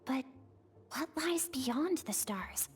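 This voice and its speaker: A woman's voice: high voice, lively intonation, slow, faint music behind. A woman playing a spirited, curious and stubborn young girl in a video game. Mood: dreamy wistful. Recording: long reverb, faint noise.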